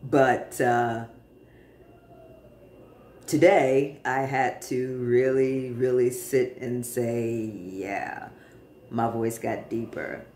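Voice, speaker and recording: A man in his forties chatting casually to camera from a living room, relaxed, with many pauses and fillers. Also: mid-range voice, slight background noise. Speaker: vlogger. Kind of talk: casual personal update to camera. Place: living room in front of a sofa, indoors. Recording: roomy, echoey home room.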